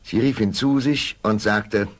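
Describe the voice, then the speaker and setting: A man's voice: lowish voice, unhurried. A man narrating a fairy tale as the storyteller of a scripted radio play. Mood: storytelling.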